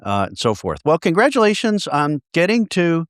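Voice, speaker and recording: A man hosting a business podcast, interviewing a founder in a relaxed, chatty way with lots of short encouraging replies. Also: a little high, moderate pace, dry recording, clean studio sound. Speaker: podcast host.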